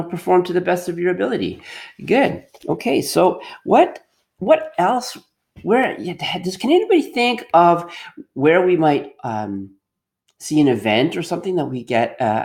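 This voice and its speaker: Middle-aged guy doing storytime teacher talk for little kids, super animated pitch, encouraging, repeats his questions. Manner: encouraging, question-led, repeats phrases for children. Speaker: teacher reading aloud to children. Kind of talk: interactive read-aloud lesson for young children. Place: quiet workshop at home.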